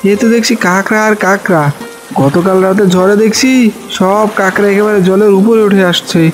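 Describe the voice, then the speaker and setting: A man's voice: high voice, fairly even intonation, a little fast, faint music behind, close to an everyday voice. A man voicing a cheerful, plain-spoken village husband in a cartoon fairy tale. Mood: pleased.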